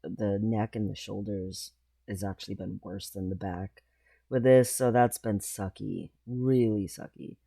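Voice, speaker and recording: Slow, dark-voiced man rambling. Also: on the low side, fairly even intonation, vocal fry, dry recording. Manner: rambling, halting, with frequent pauses. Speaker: vlogger. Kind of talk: casual personal vlog.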